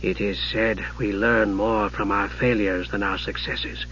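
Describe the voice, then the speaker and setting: A man voicing a cold, disdainful mage in a slow, quiet, menacing manner, as in a fantasy audiobook. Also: lowish voice, level tone, dull audio, a little hiss or hum, pitched below the performer's own voice. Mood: cold, grave. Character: frail male mage, cold, disdainful, thinly amused.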